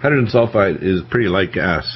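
A man's voice: low voice, lively intonation, fairly quick. A man hosting a radio panel and giving technical explanations in conversation. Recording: dull lo-fi radio audio, faint noise.

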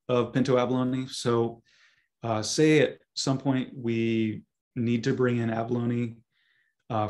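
Hoarse male scientist presenting over a video call; halting, lots of ums, mid pitch. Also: a little mellow. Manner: halting, many ums and restarts. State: hoarse, losing his voice. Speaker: guest speaker, scientist. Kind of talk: webinar presentation.

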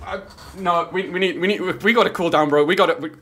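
A man's voice: sits high, steady tone, fast, pushed and bright, a little hiss or hum. A man in his thirties reacting casually to a game trailer, flabbergasted and repeating his exclamations, on a close streaming mic. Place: small home streaming room. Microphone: close desk streaming microphone with headset. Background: game trailer music.

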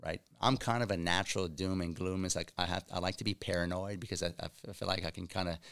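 A man's voice: deep voice, fairly even intonation, quick pace, gravelly, a bit bright, smooth. A man, hosting a casual studio podcast conversation, emphatic and prone to repeating himself. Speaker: podcast host. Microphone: boom mic on stand.

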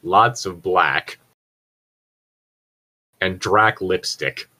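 A man's voice: sits low, extremely slow, pushed and bright. A man reading fan fiction aloud in a casual group session, swearing and dropping joking, sardonic asides. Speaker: co-host reader. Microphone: own mic on an online voice chat.

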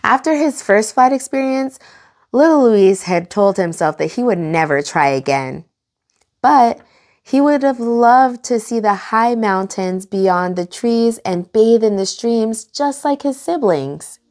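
A young adult woman hosting children's storytime, reading aloud in an animated, expressive way and enunciating for young listeners. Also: fairly high pitch, a little slow.

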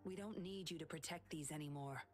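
A woman's voice: low voice, a little fast, soft background music, lightly acted. An actress playing a composed, commanding but caring woman in an English video game, giving clipped orders. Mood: composed, firm. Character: cool, commanding red-haired woman, firm but caring.

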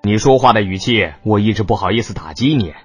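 A man's voice: lowish voice, lively intonation, quick pace, slightly rough, faint music behind. An actor playing a cocky, mocking young man, a brash older brother in a romance audiobook, with a drawling, needling delivery. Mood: smug teasing. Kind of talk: audiobook character dialogue.

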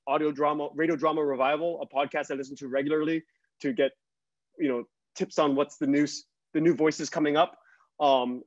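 Man, middle-aged, fairly high voice, reflective and halting, conversational, muffled video-call sound. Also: darkish tone, no room sound. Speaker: guest writer and podcaster. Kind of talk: conversational panel discussion. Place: small bright home room. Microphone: video call, earbuds. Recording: dull, lo-fi video call audio.

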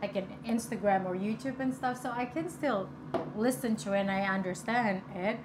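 A young Indonesian woman with a light Indonesian accent, co-hosting a cooking stream from her home kitchen in giggly, animated casual chat. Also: medium pitch, moderate pace, noisy background.

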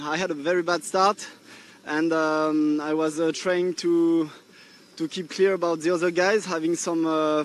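A young man with a French accent, interviewed on a boat at sea after a race, speaking in a halting way with many fillers and restarts. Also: on the high side, a little hiss or hum. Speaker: windsurfer being interviewed. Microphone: handheld microphone.

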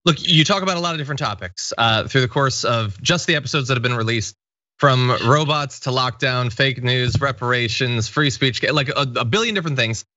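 Dry studio recording, American man, 30s, bright punchy voice, casual show host. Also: mid-range voice, fairly quick, dry recording, muffled recording. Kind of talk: casual interview hosting.

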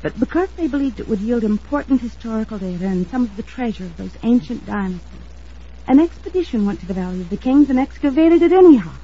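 A woman's voice: on the high side, animated, fast talker, warm tone, husky. An actress playing an anxious, insistent young woman in an old-time radio drama, speaking in an earnest, emphatic, dramatic manner. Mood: intent, explaining. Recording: dull lo-fi vintage radio recording.

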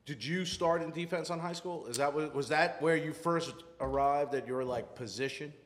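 A man's voice: fairly high pitch, moderate pace. A man hosting a casual sports interview with informal, hesitant phrasing. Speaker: podcast host, interviewer. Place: indoor lounge podcast set. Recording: echoey, reverberant room.

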